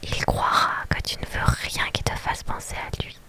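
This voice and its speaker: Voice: moderate pace, natural voice. A reader whispering a blunt, brash woman friend. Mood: pushy. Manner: whispered throughout.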